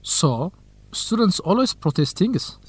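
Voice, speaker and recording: An actor playing an earnest, cautious, lovestruck young research assistant in an educational radio drama. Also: male voice, high-pitched, sing-song, slow pace, husky, no room sound, exaggerated voice. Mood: reasonable, questioning. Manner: earnest, anxious, principled.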